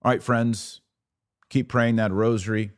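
Very deep-voiced man, earnest religious podcaster. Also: steady tone. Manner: earnest exhortation with deliberate repetition. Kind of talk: religious commentary, preaching to the audience.

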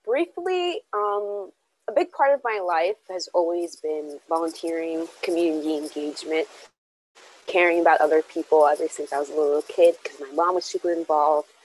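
A woman's voice: low-pitched, normal speed, darkish tone, a little hiss or hum, poor audio. A young woman, a college freshman, answering interview questions earnestly, with frequent ums and restarts. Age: young adult. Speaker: interview guest.

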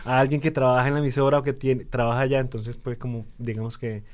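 A man's voice: mid-range voice, level tone, fast talker, a little mellow. A young Colombian man with a Colombian Spanish accent, a radio guest talking hesitantly with many fillers and restarts. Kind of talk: casual interview talk.

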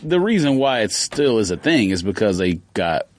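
A man's voice: fairly low pitch, big pitch swings, moderate pace. An American man co-hosting a casual sports podcast, talking in slang and reasoning his way through counterpoints. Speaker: podcast co-host. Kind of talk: casual sports debate and chat.

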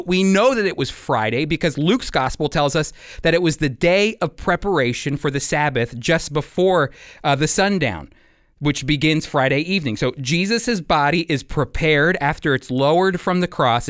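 Clear, higher-voiced man teaching the Bible. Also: expressive, moderate pace, fairly bright tone, no echo, clean studio sound. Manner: explanatory, rhetorical questions to the listener. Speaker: Bible teacher and program host.

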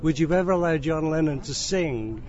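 A man's voice: a little high, lively intonation, moderate pace, darkish tone. Guy hosting a podcast, storytelling, fillers and laughs, dull lo-fi sound. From England. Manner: anecdotal, laughs easily, hesitant fillers. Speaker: podcast host and interviewer. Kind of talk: chatty interview. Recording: dull lo-fi audio with faint background noise.

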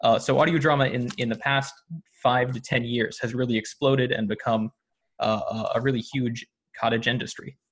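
Man, American accent, medium pitch, articulate, explanatory, casual interview guest. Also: steady tone, moderate pace. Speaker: podcast guest, audio drama producer.

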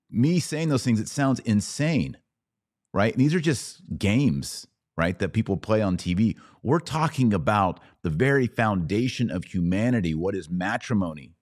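A man's voice: lowish voice, darkish tone. A man hosting a podcast, commenting emphatically on clips he plays and stressing his points with rising force. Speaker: podcast host.

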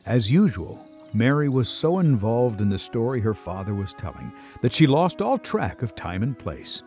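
A man's voice: medium pitch, big pitch swings, normal speed, a little mellow. A man narrating a scripted story as a storyteller. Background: music.

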